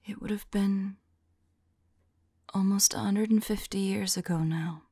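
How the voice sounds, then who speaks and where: Woman acting a whispery, slow-spoken vampire lover. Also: mid-range voice, steady tone, no room sound. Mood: wistful, tender. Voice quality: soft, breathy, whispery. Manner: slow, hesitant, intimate. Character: tender, sorrowful centuries-old female vampire lover. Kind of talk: ASMR roleplay. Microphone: close microphone. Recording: close, dry microphone.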